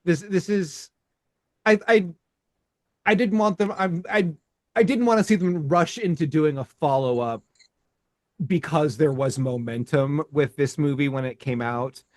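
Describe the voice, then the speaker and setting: A man co-hosting a podcast in casual chat, animated and laughing often, restarting his sentences as he talks. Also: a little high, a little slow, no echo, clean studio sound. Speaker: podcast co-host.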